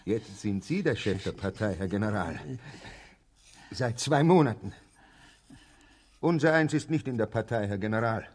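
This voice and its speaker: A man in a German radio drama playing a deferential, haunted middle-aged barber and executioner who speaks haltingly and repeats himself. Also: fairly low pitch, lively intonation, darkish tone. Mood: servile, dogged. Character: servile, haunted barber and executioner, rambling simple soldier type.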